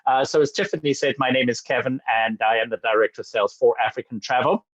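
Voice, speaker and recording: A man's voice: mid-range voice, no echo, dull audio. Upbeat man from Zambia selling safaris. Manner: energetic, fast-talking, frequent fillers and restarts. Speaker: sales director presenting. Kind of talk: enthusiastic webinar presentation.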